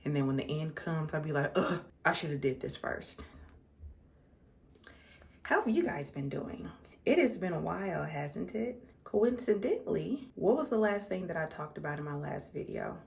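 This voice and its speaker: A woman's voice: sits low, expressive, normal speed, creaky, a little mellow. A 35-year-old American woman chatting casually and conversationally to her viewers as a makeup vlogger, repeating words for emphasis. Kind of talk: casual chat to viewers while doing makeup.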